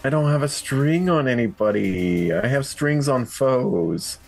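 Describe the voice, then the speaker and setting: Slow-talking, profane male gamer. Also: mid-range voice, animated, vocal fry. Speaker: tabletop game player. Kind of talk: casual game chat.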